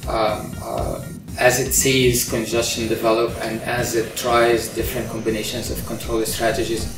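A middle-aged engineering professor from Egypt explains his work, steady and unhurried, often pausing with an um or uh. His voice stays level and flat in pitch. He sits a little back from the mic in a big echoing control center, with music playing beneath. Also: gravelly. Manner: measured, explanatory, many ums and uhs. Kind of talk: interview about his research. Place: large open-plan control center. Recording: roomy, distant sound with music under it.

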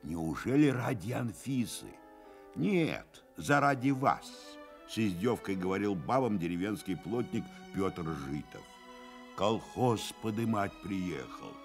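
Male narrator, somewhat low, lively intonation, literary radio play narration. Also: slow, gravelly, faint music behind.

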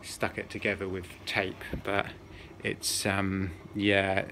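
A man's voice: deep voice, monotone, slow, pushed and bright. A man casually talking through a demonstration in a hesitant, rambling, self-correcting way. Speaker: video maker. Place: indoors at a cluttered desk. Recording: noisy room, clear background noise. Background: motor hum and neighbours' racket.

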